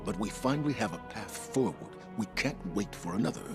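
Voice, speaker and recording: An actor playing a resolute, weary military commander in a video game cutscene, grave and measured, speaking with authority. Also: male voice, on the low side, animated, natural voice. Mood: firm. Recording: music behind the voice.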